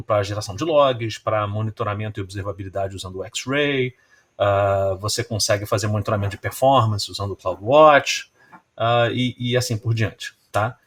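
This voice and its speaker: A man with a Carioca accent from Rio de Janeiro, presenting security research at a conference in an explanatory manner, often checking in with his listeners. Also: mid-range voice. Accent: Carioca Brazilian Portuguese. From Rio de Janeiro, Brazil. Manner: explanatory, often tags lines with "né?" and "tá?". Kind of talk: technical conference talk.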